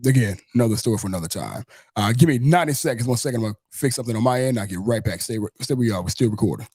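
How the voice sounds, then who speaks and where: A man's voice: medium pitch, lively intonation, very fast, darkish tone, husky, dry recording, clean studio sound. A man from Mississippi, hosting a casual sports fan chat in a laid-back, slangy way, often restating himself. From Mississippi, United States. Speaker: host of a sports fan show.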